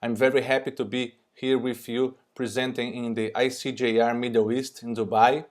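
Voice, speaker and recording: Brazilian man, mid pitch, halting remote conference lecture. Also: flat delivery. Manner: non-native, halting with frequent fillers. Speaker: surgeon presenting. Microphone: remote recorded presentation.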